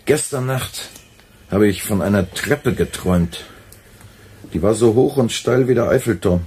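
A man's voice: fairly low pitch, measured pace, dark tone, a little hiss or hum, lightly acted. A man playing a wry, weary intellectual radio editor in a radio play, with a dry, understated delivery. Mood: uneasy recounting. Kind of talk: German radio play acting.

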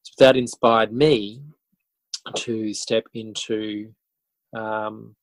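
An Australian man in his forties talks as a podcast guest. His voice sits fairly low, with a very dry sound. He tells things casually and reflectively, often pausing and rewording as he goes. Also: flat delivery, no echo, low-quality recording. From country New South Wales, Australia. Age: 40s. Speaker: interview guest, schoolteacher and author.

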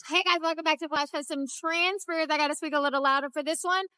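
A woman in her thirties hosting a vlog at a trade expo, giving an upbeat product demo pitch and repeating phrases for emphasis, filmed on a phone at arm's length. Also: steady tone, fast, bright, forceful tone, dry recording, clean studio sound. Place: busy indoor trade expo floor. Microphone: phone held at arm's length.

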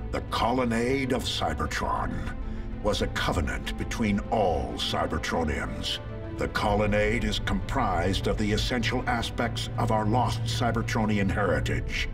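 Deep noble robot leader. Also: male voice. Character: noble male robot leader, steady and grave. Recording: distant, with music.

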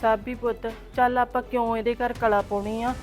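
A woman acts out a middle-aged mother pleading to see her married daughter. Her fairly high voice protests earnestly, and faint music plays behind her. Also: flat delivery, normal speed, darkish tone, natural voice. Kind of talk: homemade family drama skit.

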